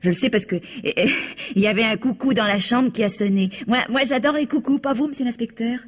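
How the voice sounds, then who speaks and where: An actress playing a naive, chatty young country maid in a French radio mystery, hesitant, rambling and giggly. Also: female voice, a little high, fast, lightly acted. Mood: rambling, earnest.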